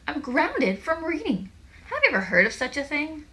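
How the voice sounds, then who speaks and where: A woman's voice: medium pitch, very animated, normal speed, sharp tone. A woman voicing an outraged, dramatic bookworm schoolgirl in a children's storybook, incredulous and emphatic. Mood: outraged disbelief.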